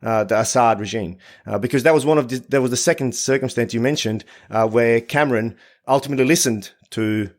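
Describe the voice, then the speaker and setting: A man from Bosnia hosting a podcast interview, asking long questions in a hesitant, halting way. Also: mid-range voice, a little mellow. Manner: hesitant, halting, many fillers and restarts. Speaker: podcast host.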